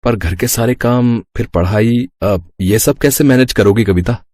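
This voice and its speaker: A man playing a supportive, reasonable young husband who talks calmly and stands up firmly for his wife. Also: medium pitch, unhurried, no room sound, clean studio sound, close to an everyday voice. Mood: curious.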